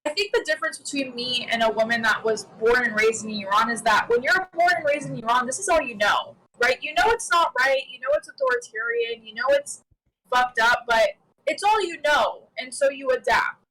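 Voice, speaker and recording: Young woman, US-raised, higher pitch, bright and animated, casual streamer chat. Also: brisk, low-quality recording. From United States. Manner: animated, with frequent "you know" and "right?". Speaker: guest commentator. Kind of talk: casual political discussion.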